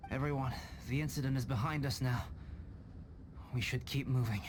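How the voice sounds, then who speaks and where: A man voicing a calm, reserved young male scholar in an anime-style game, composed and understated. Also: mid-range voice, normal speed, background noise, lightly acted.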